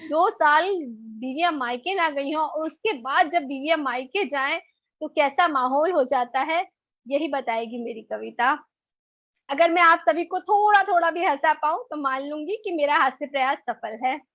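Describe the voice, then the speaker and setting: A woman's voice: high-pitched, measured pace, no room sound, highs cut off. A woman poet who cheerfully and modestly introduces her humorous poem, laughing now and then. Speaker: poet and co-host.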